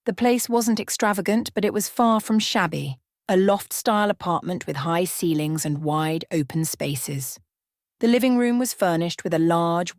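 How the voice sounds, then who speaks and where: Low-pitched woman narrating a bedtime story. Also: no room sound, studio quality.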